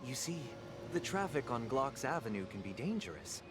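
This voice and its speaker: A man playing a composed, idealistic young gentleman leader in a video game, speaking formally and philosophically. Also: mid-range voice, sing-song, normal speed, natural voice. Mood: polite. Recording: loud music under the voice.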